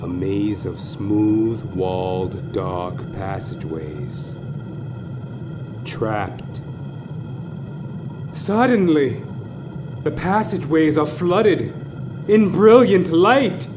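A man narrating a horror audio play in a theatrical, drawn-out way that moves between hushed and screaming. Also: fairly low pitch, expressive. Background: music.

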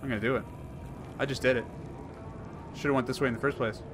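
A man in his thirties commenting on a horror game he plays, rambling and jokey, swinging from mumbles to yells. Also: medium pitch, fairly even intonation, fast, noisy background. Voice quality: hoarse at times. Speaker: gaming YouTuber. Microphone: headset.

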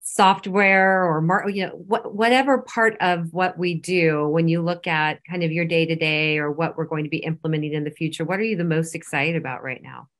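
A woman's voice: low-pitched, steady tone, moderate pace, hardly any pauses. A woman hosting a podcast, asking long, rambling questions in an encouraging, conversational way. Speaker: podcast host. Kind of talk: conversational interviewing.

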